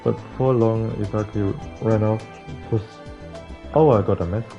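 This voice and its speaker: A man casually reading a visual novel's text aloud as a playthrough host, halting at times and adding offhand asides. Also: fairly low pitch, steady tone, mellow, muffled recording. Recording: game music behind the voice. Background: game music.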